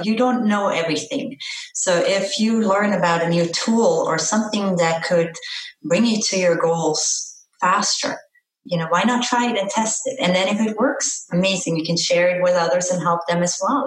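A woman's voice: fairly low pitch, moderate pace. Woman from Austria, echoey and distant. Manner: earnest, upbeat, laughs easily. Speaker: guest, parent coach. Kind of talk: casual interview chat. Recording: echoey room, voice a bit far from mic.

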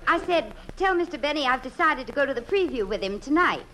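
A woman's voice: sits high, lively intonation, brisk, a bit bright, poor audio. A woman playing a self-assured, insistent society lady in an old-time radio comedy. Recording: faintly hissy old radio recording.

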